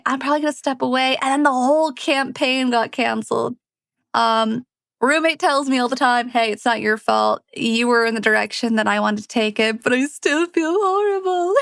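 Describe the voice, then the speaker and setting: A woman's voice: high-pitched, creaky, fairly bright tone, no room sound. A woman storyteller and voice actor who narrates fantasy and chats to her listeners, recorded close up. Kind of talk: scripted fantasy narration and casual chat to listeners.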